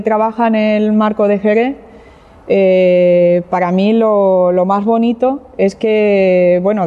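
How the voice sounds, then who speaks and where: A woman from Catalonia walks listeners through a wine tasting, her mellow, warm voice full of small hesitations and restarts. She speaks with quiet sincerity. The dull recording carries a long hall reverb. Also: medium pitch. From Catalonia, Spain. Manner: hesitant, many fillers and restarts, heartfelt. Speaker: winemaker leading a tasting. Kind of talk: explanatory wine tasting talk.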